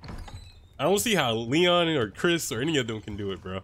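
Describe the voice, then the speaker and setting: A man's voice: mid-range voice, big pitch swings, fairly quick, a bit bright, a little hiss or hum. A young man giving casual, rambling gameplay commentary from his room, slightly stuffy from a cold and dropping "bro" and "like" often. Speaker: gameplay streamer. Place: his own room, lights off.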